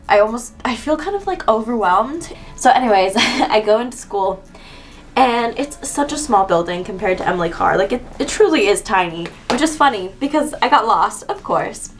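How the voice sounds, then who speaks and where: A young woman from Canada chatting excitedly in a casual vlog, full of "like" and quick asides. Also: fairly high pitch. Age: young adult. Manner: bubbly, fillers with like. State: stuffed up from a cold. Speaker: vlogger.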